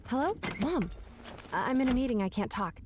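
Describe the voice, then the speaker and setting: A woman playing a diligent, businesslike young police captain in an anime game, speaking in a crisp, formal way over music. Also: a little high, big pitch swings, normal speed, crowd noise. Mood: casual, slightly flustered. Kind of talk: anime game trailer voice acting. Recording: music behind the voice.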